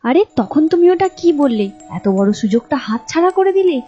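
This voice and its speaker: A woman's voice: high voice, sing-song, moderate pace, mellow, dull audio, soft background music, voice pushed up. A woman voicing a pushy, grasping young wife and daughter-in-law in a folk tale, insistent in manner. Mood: indignant. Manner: insistent, nagging.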